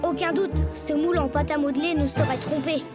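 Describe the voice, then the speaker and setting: Une femme qui interprète dans un dessin animé un jeune écolier se prenant pour un grand détective, sûr de lui, pompeux et théâtral. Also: female voice, high-pitched, steady tone, brisk, natural voice. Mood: smug, explanatory. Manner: pompous, theatrical, bookish phrasing. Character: cocky young schoolboy playing a brilliant detective. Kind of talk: animated series voice acting. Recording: voice under loud music.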